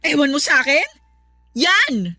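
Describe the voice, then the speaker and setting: An actress playing a hurt, defiant young wife in a radio drama, emotional and indignant. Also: female voice, high-pitched, big pitch swings, a little slow, bright, forceful tone, no echo, faint music behind, heavily acted. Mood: defiant, upset. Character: hurt, defiant pregnant young wife pleading her innocence.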